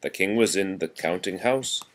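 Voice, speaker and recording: Male reader, low-pitched, parent at storytime: sing-song rhymes broken up by informal asides and explanations for a young child. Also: moderate pace, fairly bright tone. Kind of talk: read-aloud of nursery rhymes with casual chat to a child.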